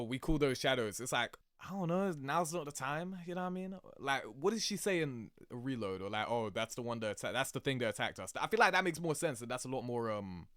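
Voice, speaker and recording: A man giving casual, slangy reaction commentary in an animated, exclamatory way, as host of a reaction video. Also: medium pitch, smooth, dry recording, studio quality. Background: game cutscene music.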